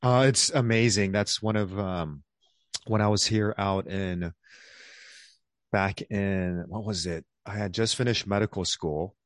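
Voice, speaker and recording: A man's voice: fairly low pitch, fairly even intonation, measured pace, dry recording. A man in his thirties, a doctor who was born in South Korea and raised around the United States, chatting as a podcast guest in an easygoing, rambling way with lots of fillers. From born in South Korea, raised across the United States. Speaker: guest, physician. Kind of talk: casual interview conversation.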